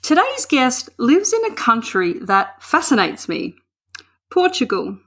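A woman's voice: a little high, wildly swooping pitch, unhurried, a bit bright, slightly rough. A woman from Australia, hosting an interview podcast in a chatty, rambling way, often restarting her questions. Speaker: podcast host. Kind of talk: casual interview chat.

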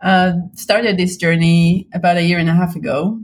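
A woman from Israel, a guest artist answering interview questions in a thoughtful, hesitant way, with many pauses and ums. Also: lowish voice, fairly even intonation, a little mellow, slight background noise.

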